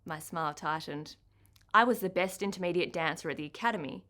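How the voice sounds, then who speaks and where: A woman's voice: on the low side, brisk, bright, forceful tone. Australian woman reading a children's story. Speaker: author reading her own book. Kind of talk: expressive children's storytime narration, first person.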